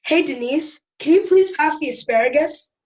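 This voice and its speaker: Cheeky schoolboy narrator voiced by a woman: high, wry, chatty, telling family troubles in an audio drama, slightly muffled sound. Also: normal speed, a little mellow, close to an everyday voice. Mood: sheepish. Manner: wry, conversational. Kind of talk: first-person storytelling in audio drama.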